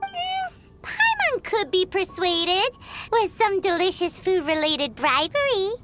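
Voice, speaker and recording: A woman voicing a tiny childlike fairy companion in a video game, squeaky, excitable, blunt and sassy. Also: slow pace, full character voice. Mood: curious. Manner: speaks of herself in third person, sassy. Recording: loud music behind.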